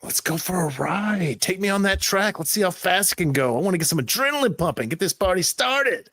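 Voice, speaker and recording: A brash young party girl, acted out by a man, pitched up and very animated. She is impatient and demanding, hungry for thrills, in the manner of an improvised tabletop game character, recorded close and dry. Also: brisk, no room sound, heavily acted. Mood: excited. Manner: pushy, demanding.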